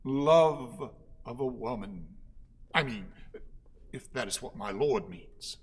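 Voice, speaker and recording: An actor playing a servile, pompous orator in a radio play, with a declamatory, halting, pleading manner. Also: male voice, mid-range voice, lively intonation, husky. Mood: hesitant, servile. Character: servile, pompous male orator, anxious before his lord. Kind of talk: theatrical radio play acting.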